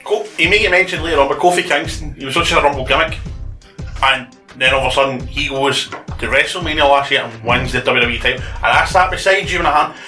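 A man's voice: mid-range voice, fast talker, sharp tone, reverberant, soft background music. A man co-hosting a casual wrestling podcast, needling the others with provocative questions. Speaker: podcast co-host.

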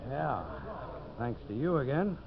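An actor playing a slick, fast-talking promoter and boss with a commanding salesman's patter, in 1950s radio drama style. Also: mid-range voice, lively intonation, vocal fry, crowd noise. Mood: brisk, confident. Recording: dull, hissy 1950s radio broadcast recording.

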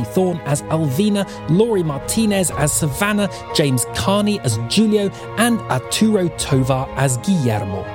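A woman reads podcast credits and listener appeals in a lively, swooping delivery. Music plays clearly behind her. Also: deep voice.

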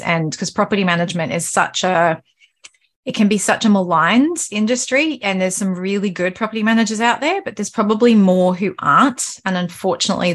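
A woman hosts a casual podcast interview, circling her questions with restarts and filler words. Her voice sits a touch low for a woman. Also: fairly bright tone. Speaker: podcast host.

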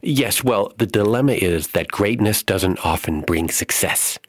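A man's voice: on the low side, expressive, a little slow, no echo, studio quality, pitched above the performer's own voice. A man voicing a scrawny, nervous male poet who stammers and hesitates, desperate for success. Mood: hopeful.

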